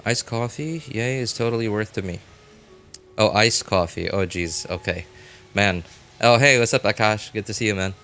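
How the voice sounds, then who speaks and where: Male game streamer, lower-pitched, casual and informal, thinks out loud to chat over music. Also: normal speed.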